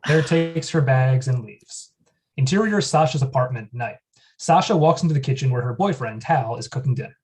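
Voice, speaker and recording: A reader calmly reading scene headings and stage directions at a table read. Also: medium pitch, moderate pace.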